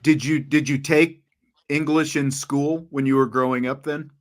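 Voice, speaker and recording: A man's voice: on the high side, fairly even intonation, normal speed. A man interviewing a young athlete, asking long, rambling questions and often restarting his sentences. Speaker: interviewer, radio play-by-play announcer. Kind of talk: conversational interview.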